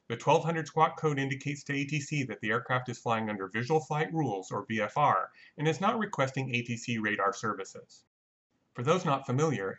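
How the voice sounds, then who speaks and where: Measured man narrating, slightly dull sound. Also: mid-range voice, fairly bright tone. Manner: measured, matter-of-fact, technical. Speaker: narrator of a flight reconstruction. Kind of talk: scripted explanatory narration.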